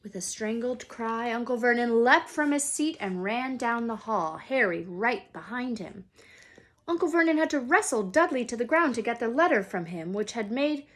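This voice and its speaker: A woman reading a children's book aloud as a storyteller, dramatic and expressive, sometimes hushed for suspense. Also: fairly high pitch. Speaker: read-aloud storyteller, teacher.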